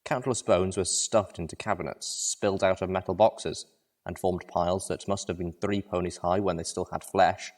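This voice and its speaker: A man's voice: low-pitched, fairly even intonation, moderate pace, a little mellow. A man reading a story aloud as narrator, in a dramatic first-person style.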